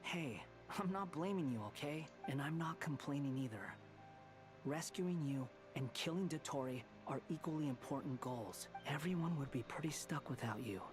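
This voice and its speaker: A man's voice: on the high side, normal speed, music in the background, natural voice. Man acting prickly, aloof young rescuer. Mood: dry, reassuring. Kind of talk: video game voice acting.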